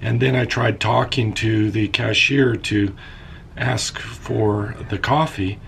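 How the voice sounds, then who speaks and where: A middle-aged man, hoarse and raspy from losing his voice, talks through his work in an unhurried way, thinking aloud with fillers. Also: fairly low pitch, fairly even intonation, a bit bright, a little hiss or hum. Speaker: vlogger. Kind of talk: casual vlog narration while working. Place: inside a step van.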